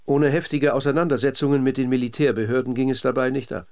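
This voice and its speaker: Man, narrator, scripted documentary narration, factual, measured, mid-range voice. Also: medium pitch, steady tone, darkish tone.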